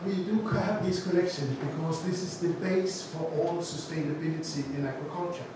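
A man's voice: fairly high pitch, fairly quick, distant mic, background noise. A middle-aged man with a Danish accent gives an informal seminar talk on a handheld mic to a seated audience, often correcting himself. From Denmark. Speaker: gene bank speaker. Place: large room with seated audience. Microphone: handheld mic, far camera. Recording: echoey room recorded from afar.

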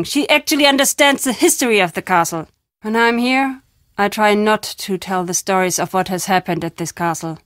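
Video game role: elderly woman storyteller, brooding and wry, slightly high voice, close dry recording. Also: a bit bright, dry recording. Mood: wry, opinionated. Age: older. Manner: dramatic, emphatic storytelling with foreboding turns.

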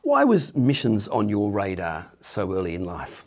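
A man's voice: lowish voice, huge pitch swings, measured pace, a little mellow. A man in his sixties or older, interviewing guests conversationally on stage through a headset mic. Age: 60s or older. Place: indoor stage with curtain backdrop. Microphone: headset microphone.

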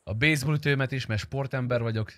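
A man's voice: mid-range voice, crowd noise. A man in his thirties improvising game roleplay banter in a sweary, exclamatory way. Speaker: streamer playing a roleplay game character.

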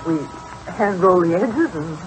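A woman's voice: fairly low pitch, animated, unhurried, a little hiss or hum. An actress playing a poor, anxious, evasive mother in an old radio drama, hesitant and pleading.

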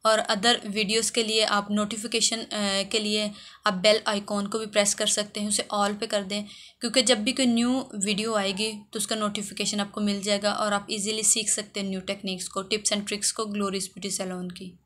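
Female hairdresser, tutorial voice-over. Bright, forceful tone, mid pitch, repetitive step-by-step explaining. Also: little pitch movement, moderate pace. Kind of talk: instructional voice-over for a how-to video.

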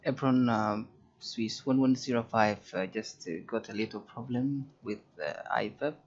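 A man giving halting, think-aloud flight simulator commentary and pilot radio calls. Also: mid-range voice, dull audio. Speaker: host, virtual pilot.

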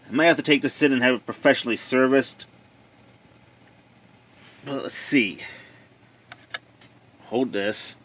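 A man giving casual, plainspoken commentary on a handheld video, thinking aloud in a halting way as a hobbyist showing a repair. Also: medium pitch, fairly bright tone, breathy edge. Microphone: handheld phone or camera.

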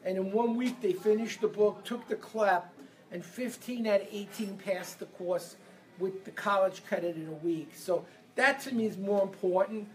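Energetic New man leading a seminar. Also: high voice, normal speed, low-quality recording. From Brooklyn, New York. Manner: energetic, leads audience call-and-response. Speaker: seminar speaker. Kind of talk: live motivational seminar talk. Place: event room with a live audience.

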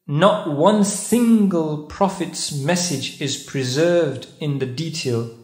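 A man giving a sermon-style lecture at a mosque, putting questions to the audience and reciting Arabic verses. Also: on the high side. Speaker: visiting speaker at a mosque conference. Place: carpeted mosque prayer hall, indoors. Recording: echoey mosque hall.

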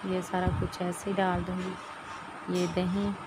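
A woman walks through a home cooking recipe step by step, often checking with a quick "theek hai". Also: fairly low pitch, monotone. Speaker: cooking channel host. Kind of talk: casual cooking demonstration. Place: home kitchen, over a stove. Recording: loud kitchen noise behind, sizzling frying.

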